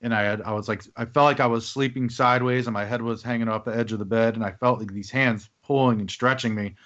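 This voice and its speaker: A man's voice: medium pitch, steady tone, fast talker, a bit bright. A man hosting a podcast, talking casually and rambling with fillers. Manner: rambling, with fillers and back-channel murmurs. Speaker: podcast host. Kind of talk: casual conversation. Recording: dull lo-fi podcast audio.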